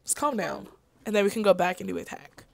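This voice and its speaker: A woman's voice: medium pitch, animated, quick pace, gravelly, a little mellow. A woman gaming co-host with a theatrical, emotive delivery, acting out visual novel dialogue.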